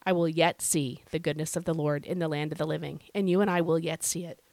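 A woman hosting a devotional podcast, her voice scratchy and hoarse from illness, teaching in an earnest, conversational way. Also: deep voice, steady tone, fast talker, a little mellow, dry recording. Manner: earnest, conversational, with fillers and repeated phrases. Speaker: podcast host.

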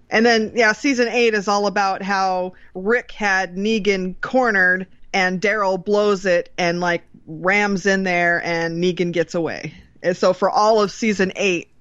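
A woman's voice: medium pitch, fairly even intonation, unhurried, fairly bright tone, no room sound. A woman co-hosting a podcast in casual, agreeable chat with some mild swearing, on slightly dull, lo-fi audio. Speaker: podcast co-host.

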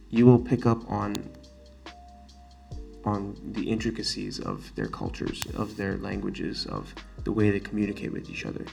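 A young man in his late teens from Singapore speaks with an American-sounding accent in a low, somewhat gravelly voice. He hosts a solo podcast earnestly, stressing and repeating his main points. Faint music plays underneath. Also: darkish tone. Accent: American-sounding, not Singaporean. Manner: earnest, emphatic, repeats key phrases. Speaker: student podcast host.

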